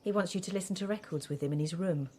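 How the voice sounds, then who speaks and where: A woman's voice: fairly low pitch, fast talker, a little mellow, slight background noise, lightly acted. An actress playing an anxious, coaxing woman of a troubled family in a radio play, with a placating way of talking. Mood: careful, persuading.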